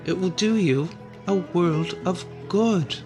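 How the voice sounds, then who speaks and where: An actor in a radio play as a brisk, placating man who soothes and dismisses fears. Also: high-pitched, over music. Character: reassuring, dismissive man calming a frightened woman.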